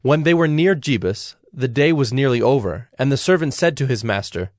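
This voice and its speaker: A man narrating a dramatized scripture in a measured, solemn, scripted style. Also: mid-range voice, lively intonation, dry recording, studio quality. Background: background music.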